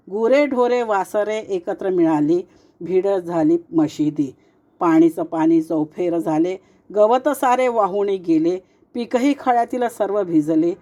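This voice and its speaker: A woman reads devotional verse aloud at a slow, unhurried pace. Her voice is mellow and fairly low, and she keeps to a steady, sing-song rhythm from couplet to couplet.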